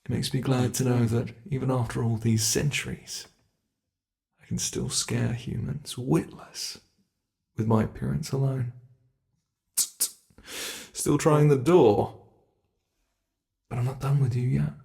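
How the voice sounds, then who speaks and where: A man's voice: mid-range voice, steady tone, unhurried, vocal fry, pauses often, warm tone. A man voicing an ancient, playful monster under the bed, with a British accent, teasing and menacing in a whispery ASMR roleplay.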